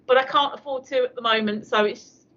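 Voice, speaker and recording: A woman's voice: a little high, fairly even intonation, brisk, a bit bright, muffled recording. An older woman from South London with a South London accent, chatting casually as a guest with lots of ums and little laughs. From South London, born in Surrey. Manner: chatty, with frequent fillers and laughs. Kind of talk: casual chat.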